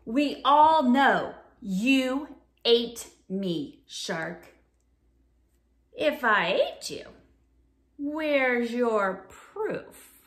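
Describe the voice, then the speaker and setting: A woman voicing an indignant, fussy jellyfish TV host from a picture book, drawn-out, accusing and exasperated. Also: on the high side, very animated, echoey room, exaggerated voice. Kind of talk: children's picture book read-aloud.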